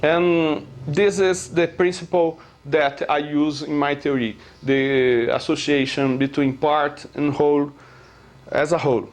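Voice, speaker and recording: A man from Brazil with a Brazilian Portuguese accent, explaining his physics theory in slow, hesitant English with many filler sounds and pauses. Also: fairly high pitch, steady tone. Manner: earnest, halting non-native phrasing. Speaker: self-taught theorist presenting his theory.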